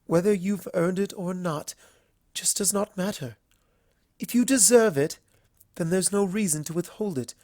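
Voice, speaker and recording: A narrator voicing a refined, earnest female unicorn pony with formal, measured, deliberate speech in a dramatic story reading. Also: medium pitch, a little mellow, no room sound, clean studio sound, lightly acted. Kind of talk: dramatic fan fiction reading.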